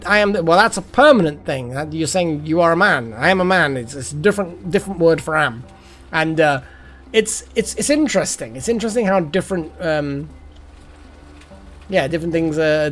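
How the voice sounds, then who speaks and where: A man's voice: fairly high pitch, fairly bright tone. A man in his thirties chatting casually on a live game stream, rambling and repeating words as he muses aloud.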